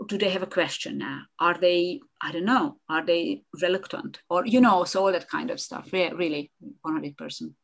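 A middle-aged woman speaks in a low, gravelly voice. She answers interview questions in an animated way, often restarting her sentences and repeating words. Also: normal speed, hardly any pauses. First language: Hungarian. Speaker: guest, marketing consultant. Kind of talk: conversational podcast interview.

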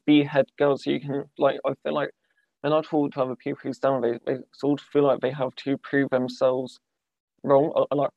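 Young man, podcast host, flat delivery, hesitant with false starts, lo-fi dry recording. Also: mid-range voice, creaky, no room sound. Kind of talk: conversational interviewing. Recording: dull lo-fi dry sound.

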